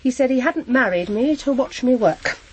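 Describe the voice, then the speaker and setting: A proper middle-aged housewife, newly widowed, in a radio crime drama. Her fairly high voice is halting and flustered, circling back over her own words in bewilderment. There is faint noise behind her. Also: animated, low-quality recording. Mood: dazed, rambling. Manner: halting, flustered, repeats herself. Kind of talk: naturalistic radio drama acting.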